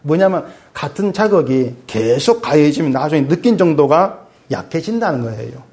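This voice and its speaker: A middle-aged male pastor preaching conversationally, asking his congregation questions and dropping low and soft when he reads scripture. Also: lively intonation, normal speed. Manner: swings between raised emphatic lines and quiet low asides; reads scripture aloud in a lower, softer voice. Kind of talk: sermon.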